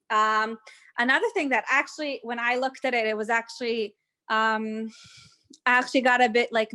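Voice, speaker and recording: Bright, American-sounding young woman guide. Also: on the high side. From Israel. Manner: chatty, hesitant, full of fillers and restarts. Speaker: tour guide. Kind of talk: live virtual tour with audience questions. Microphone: video call.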